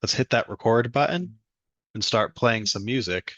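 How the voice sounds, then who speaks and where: A young man, presenting a step-by-step software demonstration. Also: medium pitch, expressive, normal speed, no echo, muffled recording. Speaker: student demo presenter. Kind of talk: software demo walkthrough.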